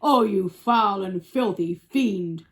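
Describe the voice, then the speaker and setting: A woman voicing a big, indignant male elephant in a children's storybook, scolding slowly and emphatically. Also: mid-range voice, close to an everyday voice. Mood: outraged.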